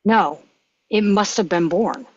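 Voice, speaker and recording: A woman's voice: medium pitch, expressive, slow pace, breathy edge, exaggerated voice. A woman at a script table read playing a weary, shaken male intelligence official. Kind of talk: dramatic script reading and stage directions. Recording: roomy, dull muffled microphone sound.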